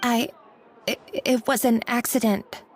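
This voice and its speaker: A woman's voice: a little high, fairly even intonation, measured pace, natural voice. A woman voicing a timid, apologetic young kitchen worker in a video game, hesitant and stammering. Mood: nervous, apologetic.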